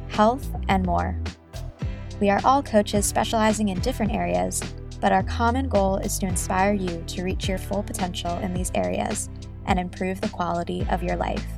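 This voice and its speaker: A woman host reading a scripted podcast intro in a steady, announcing way. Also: mid-range voice, vocal fry. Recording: intro with music behind.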